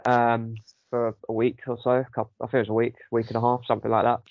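Guy with a sore throat, gravelly voice, rambly podcast chat full of ums, dull dry lo-fi sound. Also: medium pitch, completely flat delivery, quick pace, dry recording. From United Kingdom. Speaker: podcast host. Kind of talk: casual chat.

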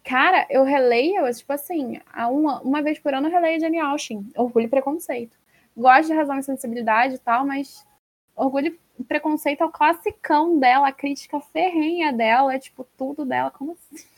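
A woman with a Brazilian accent and a high voice hosts a podcast. She chats casually and informally, with animated, slangy phrasing. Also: brisk. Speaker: podcast host. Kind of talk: casual chat about books.

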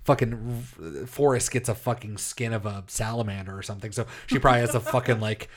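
A man's voice: on the low side, steady tone, brisk. A man co-hosting a podcast, joking in casual, profane chat about a TV episode. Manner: laid-back, joking, profane. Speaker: podcast co-host.

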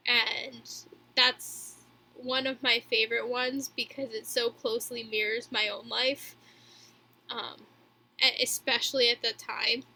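A woman in her twenties chatting casually as a podcast co-host, in a somewhat halting way. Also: fairly high pitch, flat delivery, bright, forceful tone, poor audio. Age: 20s. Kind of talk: casual chat.

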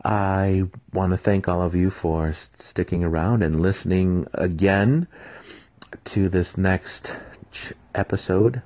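A man's voice: low-pitched, slow, darkish tone, dry recording. A man giving a dramatic storybook narration as the host of a reading series.

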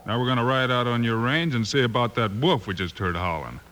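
A man playing a calm, firm western lawman hero with a self-assured American delivery, in old-time radio acting style. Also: medium pitch, a little fast, natural voice. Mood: commanding. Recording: noisy old radio recording.

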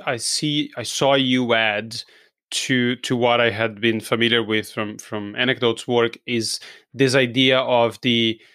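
Brazilian man, podcast host, teasing and quick to laugh, dry close sound. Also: mid-range voice, a bit bright, dry recording. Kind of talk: conversational interview.

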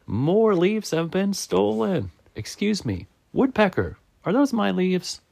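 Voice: mid-range voice, big pitch swings, slow pace, vocal fry, a little mellow, dry recording, voice pushed up, heavily acted. A reader voicing a frantic, suspicious squirrel in a picture book, melodramatic and exclaiming. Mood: alarmed. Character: frantic, suspicious squirrel fretting over stolen leaves. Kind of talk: picture-book read-aloud.

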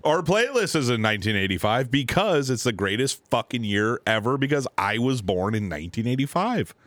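A man's voice: mid-range voice, big pitch swings, fairly quick, a bit bright, no echo. A man in his thirties co-hosting a podcast, talking in casual, profane banter and laughing often. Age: 30s. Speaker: podcast co-host.

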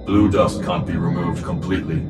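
A man plays a grave alien elder in a sci-fi audio drama, with a deep and steady, solemn voice. It echoes from a distance beneath loud music. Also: close to an everyday voice. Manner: solemn, measured.

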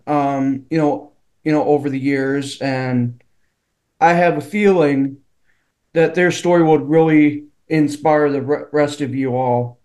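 A man hosting a casual interview podcast, speaking haltingly as he searches for his words. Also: a little high, darkish tone. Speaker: podcast host.

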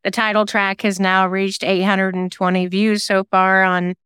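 A woman's voice: medium pitch, level tone, unhurried, a bit bright, smooth, dry recording, clean studio sound. A woman giving reflective, earnest answers as a guest in a conversational interview. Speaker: guest, singer-songwriter and novelist.

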